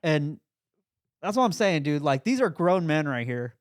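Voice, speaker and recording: A man's voice: a little high, very animated, normal speed, dry recording, studio quality. A man co-hosting a podcast in casual, joking, slangy chat, often saying dude and bro. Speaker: podcast co-host.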